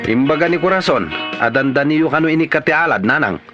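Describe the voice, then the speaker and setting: A man's voice: a little high, fast, dull audio, over loud music, lightly acted. A man with an Ilocano Filipino accent playing a stubborn, grumbling village man in a radio comedy drama. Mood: defensive, stubborn.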